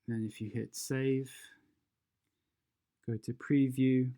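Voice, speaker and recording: A presenter walks through software steps in a casual, instructional way. The voice is relaxed and mellow, with an unhurried pace and mid pitch. The delivery is informal, as if thinking aloud while guiding the listener. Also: clean tone. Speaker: tutorial presenter. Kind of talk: casual step-by-step software tutorial narration.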